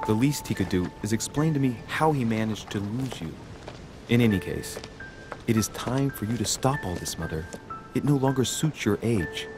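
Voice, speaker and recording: A man voicing a wry young gentleman in a video game cutscene, dry and sardonic. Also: lowish voice, animated, moderate pace, music in the background, lightly acted. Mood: dry, weary. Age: young adult. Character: wry young gentleman searching for his missing mother. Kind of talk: video game cutscene acting and inner monologue.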